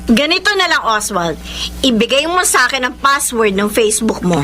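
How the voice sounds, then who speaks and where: A woman's voice: a little high, sing-song, moderate pace, sharp tone, slight background noise. An actress playing a paranoid, jealous young Filipino girlfriend who nags and accuses in a radio drama. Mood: jealous, demanding. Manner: accusing, whiny, nagging.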